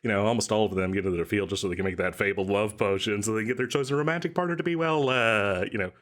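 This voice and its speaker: A man's voice: fairly low pitch, racing, fairly bright tone, natural voice. A man playing an overeager, chatty and cheerfully shady salesman in a comedic audio roleplay, rambling and stammering coyly through his patter. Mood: chatty, impressed. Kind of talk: comedic audio roleplay, one side of a conversation.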